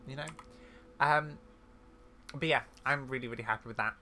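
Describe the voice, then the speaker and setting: A man hosts a gaming video in a bright, forward voice. He talks casually and effusively, chatting to his viewers. Faint noise and keyboard clatter sit behind him. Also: mid-range voice, moderate pace. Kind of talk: casual gameplay commentary.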